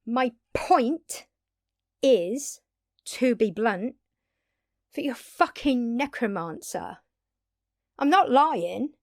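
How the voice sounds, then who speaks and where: A woman playing an earnest, blunt, long-lived vampire in an intimate audio drama, speaking haltingly and pleadingly with dramatic pauses. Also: on the high side, animated, no room sound, clean studio sound, heavily acted. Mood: grave, intense. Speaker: voice actor.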